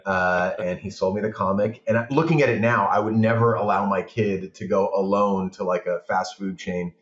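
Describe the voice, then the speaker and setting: A man's voice: on the low side, moderate pace. A man born abroad chatting casually on a podcast, telling long rambling anecdotes. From Portugal. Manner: rambling, animated storytelling. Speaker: podcast guest, film director. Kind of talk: casual chat. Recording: roomy, muffled sound.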